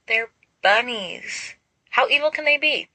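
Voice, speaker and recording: A woman reads as a teenage witch from a storybook, cheerful and practical with a gently dry streak. Her voice is bright and closely recorded, with very little room. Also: on the high side, a little slow, no echo, voice pushed up. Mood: happy.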